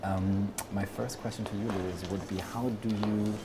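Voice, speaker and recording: A man's voice: on the low side, fairly even intonation, moderate pace, heavy background noise. A man interviewing actors at a film festival, asking long questions padded with fillers.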